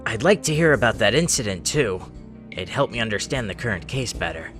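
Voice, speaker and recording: A man playing a calm, perceptive young lawyer in a video game fan dub, measured and sincere. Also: fairly low pitch, faint music behind. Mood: calm, probing.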